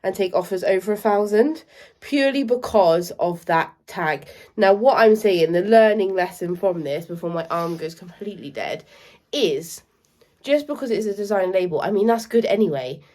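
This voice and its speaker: A woman's voice: mid-range voice, lively intonation, darkish tone. A woman from the UK, a luxury reseller co-hosting a vlog, explaining pricing in a practical, instructive way. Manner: practical, instructive, quick asides. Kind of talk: casual explaining to camera.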